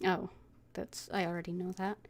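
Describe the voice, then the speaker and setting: A woman's voice: fairly low pitch, big pitch swings, moderate pace, a crowd in the background. A woman game streamer voicing her own character's lines in a fantasy role-playing game.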